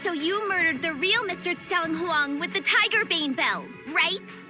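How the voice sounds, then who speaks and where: A woman's voice: very high-pitched, lively intonation, unhurried. A woman voicing a small, righteous young tiger girl in an anime-style video game, proud and earnest. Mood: indignant. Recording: music behind voice.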